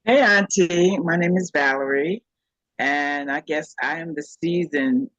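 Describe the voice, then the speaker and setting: A woman in her sixties talking in a casual group chat, easygoing and gently humorous. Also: deep voice, measured pace, no room sound, lo-fi sound. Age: 60s. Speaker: guest.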